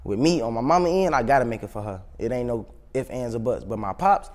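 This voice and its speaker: A young man from the South Side of Chicago speaks with a local accent. He talks casually as an interview guest, using plenty of slang and swearing, in a medium-pitched voice. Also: fast, darkish tone. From South Side of Chicago, United States. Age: young adult. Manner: slangy, profane street talk.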